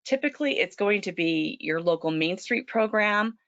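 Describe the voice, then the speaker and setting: A woman presenting a small-business webinar, a little hoarse from allergies, often clearing her throat and saying um. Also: medium pitch, expressive, normal speed, fairly bright tone, no room sound. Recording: dull lo-fi web call audio.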